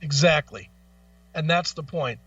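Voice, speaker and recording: A man's voice: fairly high pitch, measured pace, fairly bright tone, recorded from a distance, muffled recording. A man co-hosting a radio show in casual, opinionated chat, blunt and freely swearing. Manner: blunt, profane.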